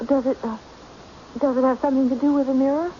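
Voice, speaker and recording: Actress as meek, easily led woman, high mellow voice, halting delivery, old radio mystery on a muffled recording. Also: steady tone, a little hiss or hum, close to an everyday voice. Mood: naive, obedient. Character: timid, hesitant, obedient woman, naive and easily led.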